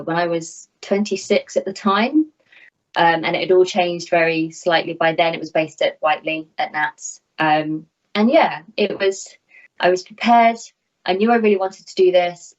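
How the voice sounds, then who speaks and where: A woman in her thirties with a lowish voice talks candidly and conversationally, as a guest being interviewed. She is animated and self-deprecating. The sound is a little muffled, as on a video call from home. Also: normal speed. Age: 30s. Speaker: air traffic controller, interview guest. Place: small home room, indoors. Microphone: video call, laptop at arm's length. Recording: slightly dull video-call audio.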